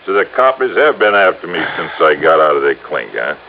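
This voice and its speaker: An actor playing a big, cocky, vengeful gangster in an old-time radio crime drama, with gruff tough-guy slang. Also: sits low, big pitch swings, fairly quick, narrow, tinny audio. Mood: menacing, cocky. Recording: noisy, thin old radio recording.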